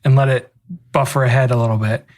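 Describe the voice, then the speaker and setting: A man with an average-pitched voice chats with his co-host on a podcast. He talks in an easygoing, loose way and fills his pauses with "um" and "like.". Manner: relaxed, easygoing, lots of "um" and "like". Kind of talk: casual chat.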